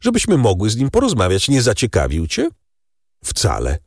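A man voicing gossiping ladies and gentlemen at the opera in a raised, animated voice. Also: lowish voice, moderate pace, dry recording, clean studio sound, voice pushed up, exaggerated voice. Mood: chatty.

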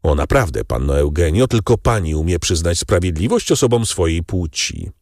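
A man voicing gossiping ladies and gentlemen at the opera in a raised, animated voice. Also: sits low, moderate pace, no room sound, studio quality, pitched above the performer's own voice. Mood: chatty.

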